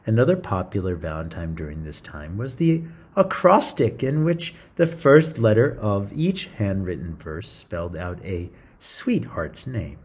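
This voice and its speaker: A man's voice: on the low side, expressive, unhurried, a little mellow, husky. A man reading a story aloud to children in a theatrical, playful style, with chatty asides. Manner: theatrical, playful, interjecting comments to young listeners.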